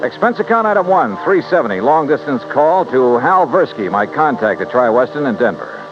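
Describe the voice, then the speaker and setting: A man's voice: mid-range voice, sing-song, music in the background, lightly acted. An actor playing a wry, confident male insurance investigator in a 1950s radio detective drama. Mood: wry narration. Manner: wry, brisk, skeptical. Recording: muffled, noisy old radio recording.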